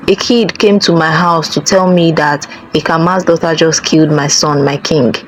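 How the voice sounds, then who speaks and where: A heartbroken village mother, as a woman storyteller puts her on, begging the king in a low, urgent voice. A faint hiss runs under the storybook reading. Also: steady tone, normal speed, close to an everyday voice, rough recording. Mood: grieving plea. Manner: desperate begging. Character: grieving, distraught village mother.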